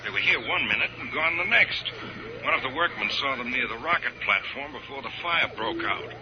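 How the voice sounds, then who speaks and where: A man's voice: medium pitch, animated, a little fast, close to an everyday voice. An actor playing an earnest, worried man at a rocket base in an old-time radio science fiction serial. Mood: worried, sorrowful. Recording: very noisy, dull old radio recording.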